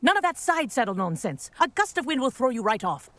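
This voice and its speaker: A woman playing a strict, brisk wizard-school flying instructor in a video game, firm and formal like a schoolteacher. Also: a little high, sing-song, fairly bright tone, no room sound. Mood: brisk, instructive. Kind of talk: video game character acting.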